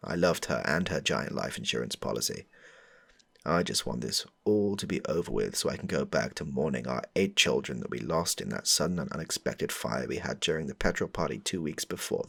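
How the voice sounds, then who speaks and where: A man playing a smitten, rambling suitor with a shady air in a comedic audio roleplay, stammering and overdramatic as he speaks to the listener. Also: exaggerated voice. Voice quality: low, gravelly, husky. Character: smitten, rambling, darkly suspicious man meeting his crush.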